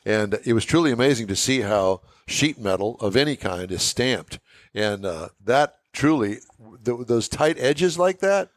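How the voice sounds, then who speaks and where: A man's voice: medium pitch, lively intonation, a little slow, no room sound. A man hosting a car show in casual chat, folksy and curious, readily sounding amazed.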